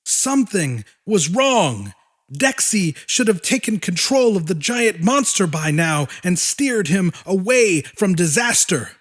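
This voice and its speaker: A man narrates a dramatic audiobook story. His voice sits high for a man and moves in animated swings, at an unhurried, deliberate pace.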